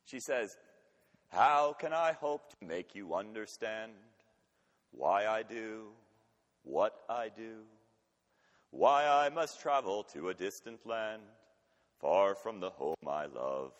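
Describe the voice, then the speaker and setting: A man preaching a sermon with emphatic delivery, swinging between urgent loud passages and quiet, intimate ones. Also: on the low side, animated, slow, lo-fi sound. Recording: long hall reverb.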